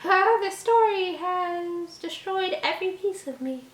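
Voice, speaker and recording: A woman's voice: extremely high pitch, expressive, unhurried. A woman reading a horror story aloud as a host, stumbling over words and breaking off into mocking, exasperated asides. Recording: echoey room.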